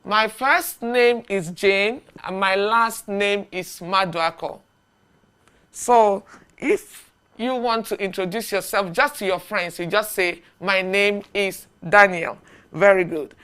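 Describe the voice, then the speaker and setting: A woman teaching a beginner English lesson, speaking deliberately and repeating short phrases for learners to echo. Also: medium pitch, a bit bright, smooth.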